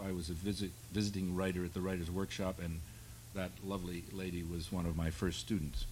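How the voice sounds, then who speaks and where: A man's voice: low voice, a little mellow, slight background noise, rough recording. A man in his sixties moderating a live panel, introducing the speakers in a wry, self-deprecating, rambling way. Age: 60s. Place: public panel event before an audience.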